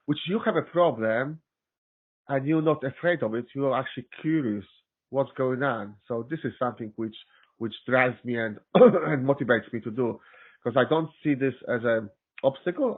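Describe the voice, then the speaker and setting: A man with a Polish accent, a systems engineer chatting as an interview guest, halting with many restarts and fillers. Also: mid-range voice. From Poland. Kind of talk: casual interview chat. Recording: tinny phone-like line.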